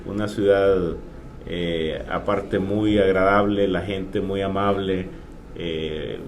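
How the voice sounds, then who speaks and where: Male guest in his 40s, lowish voice, earnest and halting, faint room noise. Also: fairly even intonation. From Honduras. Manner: earnest and hesitant, with many pauses. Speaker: insurance agent guest. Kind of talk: casual interview.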